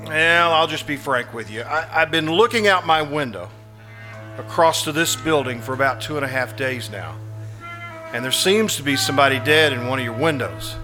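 A man plays an earnest, nosy neighbour in an old-time radio suspense play. His somewhat high voice stammers and hesitates, then presses on insistently, with music clearly audible behind it. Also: expressive, moderate pace, close to an everyday voice. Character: earnest, anxious male actor, nosy neighbour. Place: live performance at a winery.

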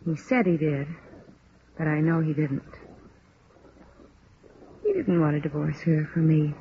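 An actress playing a resigned woman killer confessing in a halting, matter-of-fact way in a 1950s radio crime drama. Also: low-pitched, lively intonation. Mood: flat, resigned. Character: woman murderer flatly confessing, weary, resigned. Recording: muffled, noisy old radio recording.

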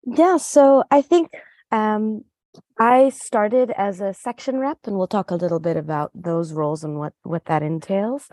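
A woman in her thirties from the United States, answering interview questions in a measured, articulate way. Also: mid-range voice, darkish tone, no echo. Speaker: student council co-president.

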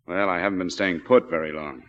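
An actor playing a polite, reserved war veteran in 1940s radio style, laconic and understated. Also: male voice, sits low, fairly quick, fairly bright tone, slight background noise. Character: polite, reserved war veteran army major, adult male. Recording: dull, reverberant 1940s radio recording.

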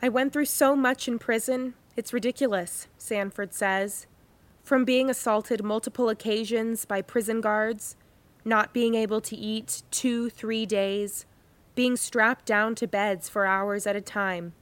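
A woman playing a news reporter in a documentary drama, reading an article in a measured, serious way. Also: fairly high pitch, steady tone, no echo, lightly acted. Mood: sober, serious. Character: sober female news reporter reading an account.

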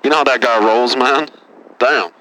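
A man's voice: medium pitch, fairly even intonation, normal speed, bright, forceful tone, a little hiss or hum, close to an everyday voice, rough recording. A man playing a boastful, touchy caller from Texas who says "man" after nearly every phrase, in a comedy radio bit. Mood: whiny, indignant. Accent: Texan.

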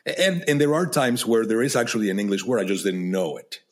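A man's voice: fairly low pitch, lively intonation, a little fast. A man hosting a podcast in a conversational interview, speaking English as a second language after Spanish, often repeating words as he searches for them. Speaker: podcast host.

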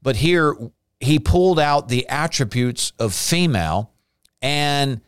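Male Bible teacher, sermon delivery, clear resonant voice, emphatic. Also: a little high, animated, slow pace, no echo, studio quality. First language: not Hebrew. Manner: explains Hebrew words, emphatic bursts.

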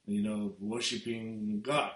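Middle-aged iranian guy, farsi native, earnest halting english, echoey room. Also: fairly low pitch, little pitch movement. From Iran. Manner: earnest, simple broken English phrasing. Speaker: guest pastor. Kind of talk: telling his testimony in conversation.

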